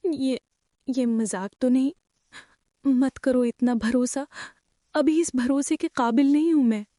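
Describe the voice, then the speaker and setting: Cartoon role: humble young bride, played by a woman; earnest, hesitant, high-pitched, unhurried. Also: fairly even intonation, mellow, no echo, clean studio sound. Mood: overwhelmed. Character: humble, emotional young bride, former newspaper seller. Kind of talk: animated story drama acting.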